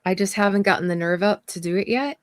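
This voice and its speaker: A woman's voice: mid-range voice, fast talker, dry recording, studio quality. A woman with a nasal, stuffy allergy voice, teasing and laughing in casual livestream chat.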